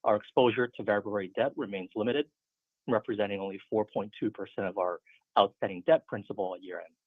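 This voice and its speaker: Low, husky man on a phone line. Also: quick pace, no echo. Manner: businesslike, steady reading. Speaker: finance executive on an earnings call. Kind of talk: formal scripted financial report. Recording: muffled narrow phone conference line.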